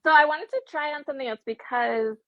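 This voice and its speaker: A woman's voice: high voice, fast talker, no room sound. A woman from the United States hosting a casual chat, talking in a chatty way full of fillers and giggles. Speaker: host. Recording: dull, dry lo-fi audio.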